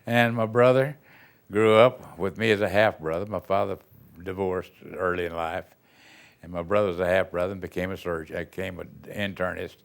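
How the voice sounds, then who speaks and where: Older man from Texas, gravelly low voice, folksy rambling storyteller in an interview. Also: steady tone, moderate pace. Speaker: retired band director. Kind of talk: reminiscing interview answers.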